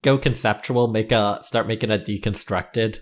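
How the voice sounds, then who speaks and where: Podcast guy, kinda low voice, casual, thinks out loud. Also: steady tone, moderate pace. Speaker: podcast co-host. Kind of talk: casual chat.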